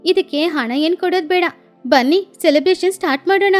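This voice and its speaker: A woman's voice: very high voice, a little fast, soft background music, pitched above the performer's own voice. A woman voicing a kind, generous female bird with a cheerful, inviting manner in a children's story.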